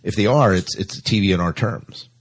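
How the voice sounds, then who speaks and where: Deep, husky male podcast host. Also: moderate pace. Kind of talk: casual chat.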